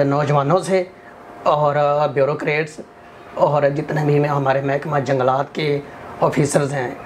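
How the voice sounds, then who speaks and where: A Pakistani man with a sore throat speaks in earnest, appealing tones as a studio guest, a touch higher than most men. His answers run on with habitual fillers. A faint hiss sits under the recording. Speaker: forest restoration activist, interview guest. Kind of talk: formal interview answers.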